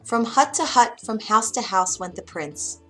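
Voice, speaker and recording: A woman narrating a children's bedtime story in an expressive, dramatic way. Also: on the high side, unhurried, faint music behind.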